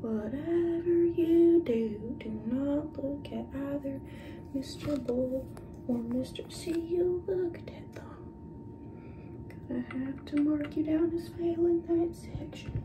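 A woman doing an ASMR roleplay as a clinical examiner, speaking softly, often in whispers, and giving short, playful instructions. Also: high-pitched, takes it slowly. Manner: soft-spoken, often dropping into whispers. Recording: dull lo-fi audio with clear background noise.

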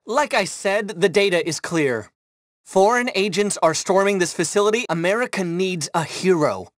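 An actor playing a pompous, paranoid and self-important tech billionaire with a grandiose way of talking in a video game. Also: male voice, high voice, normal speed, dry recording, clean studio sound, close to an everyday voice. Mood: grandiose, smug.

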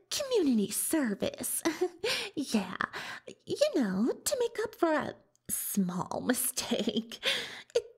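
Cartoon unicorn showgirl magician, high-pitched, slow and drawn-out, soft ASMR hush, theatrical, self-important, full of excuses. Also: slightly rough, full character voice. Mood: sheepish, evasive. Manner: theatrical, self-important, speaks of herself in third person. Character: boastful young female unicorn stage magician, dramatic and self-excusing. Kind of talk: roleplay ASMR, soft-spoken and often whispered.

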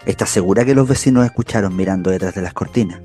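An actor playing a grave, indignant storyteller in a literary radio drama. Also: male voice, low voice, fast, mellow, close to an everyday voice. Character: grave, indignant male storyteller witnessing a crime. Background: music.

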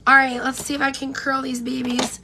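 A woman's voice: sits high, completely flat delivery, fairly quick, bright, forceful tone, a little hiss or hum. A woman in her thirties chatting casually while doing her makeup on a live stream, her voice worn and going. She rambles and greets viewers by name. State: losing her voice. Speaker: beauty vlogger. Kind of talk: casual get-ready-with-me live chat. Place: home room with pink neon lights, indoors. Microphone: camera in front at close range.

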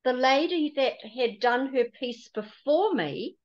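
Slow New woman on a dull call. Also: high-pitched, lively intonation. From New Zealand. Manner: slow, reflective and encouraging. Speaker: podcast host. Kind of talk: unhurried spiritual conversation. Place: home room with patterned screen. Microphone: video call. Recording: dull lo-fi video call audio.

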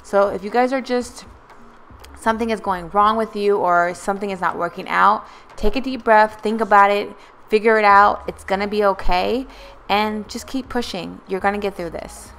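A woman's voice: mid-range voice, moderate pace, a bit bright. A young woman vlogger talking casually and encouragingly in a voice-over about cleaning her home, with many fillers. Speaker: lifestyle vlogger.

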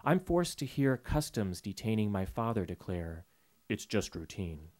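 Male poet, recitation voice, very animated pitch, slow measured rhythm, table mic in a hall. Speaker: poet reading his work. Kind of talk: poetry reading. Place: auditorium stage, seated at a panel table. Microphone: table mic on a stand.

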